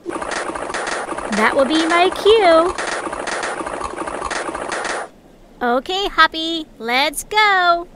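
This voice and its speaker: Woman acting small female creature, very high voice, animated, snappy and sarcastic, amateur audio drama, noisy recording. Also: drawn-out words, exaggerated voice. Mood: defiant. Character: feisty, easily exasperated small female adventurer creature.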